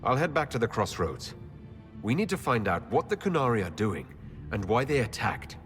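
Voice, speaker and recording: An actor playing a composed elf Inquisitor in a fantasy game, speaking in a measured way. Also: male voice, medium pitch, sing-song. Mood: composed, concerned. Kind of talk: video game dialogue acting. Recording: long reverb, loud music behind.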